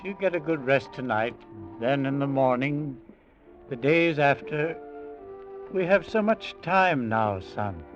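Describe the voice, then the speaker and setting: An actor playing a kindly, contented old father in a vintage radio drama, speaking gently and reassuringly. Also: medium pitch, expressive, slow. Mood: fond, reassuring. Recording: dull old radio recording, faint music.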